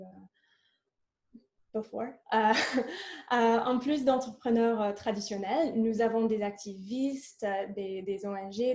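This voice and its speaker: A woman's voice: a little high, warm tone. A woman who is not a native French speaker, presenting her organization on a video call, hesitant, with many fillers and slips into English. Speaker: program lead at a coworking organization. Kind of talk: online panel presentation.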